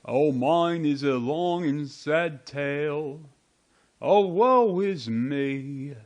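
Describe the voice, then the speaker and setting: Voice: mid-range voice, lively intonation, extremely slow. An actor playing a fussy, easily offended mouse in a storybook radio play, melodramatic and indignant. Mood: mournful, offended. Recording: music behind the voice.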